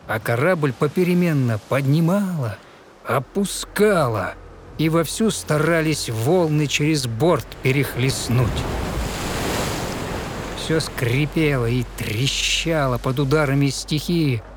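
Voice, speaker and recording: A man narrating a fairy tale in verse with measured, theatrical, expressive recitation. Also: a little high, a little hiss or hum.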